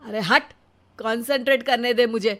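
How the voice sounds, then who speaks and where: A woman's voice: on the high side, fairly even intonation, normal speed, fairly bright tone. A woman voicing an overconfident, scheming but dim married man with a breezy, self-assured manner in a story. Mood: irritated.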